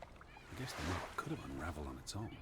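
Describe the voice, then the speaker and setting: Low-voiced, bookish American scholar. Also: male voice, very animated. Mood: earnest, reasoning. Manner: proper, measured, earnest. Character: earnest, bookish, cautious American male scholar of the 1920s. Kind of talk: naturalistic video game voice acting. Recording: clear background ambience noise.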